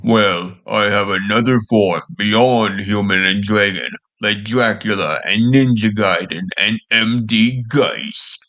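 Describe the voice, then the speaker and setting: A menacing villain acted by a man from an abridged anime parody. His voice sits fairly low, and he boasts like an arrogant sorcerer champion turned monster. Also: slow, few pauses, sharp tone, exaggerated voice. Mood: grandiose. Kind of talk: fan abridged anime dub.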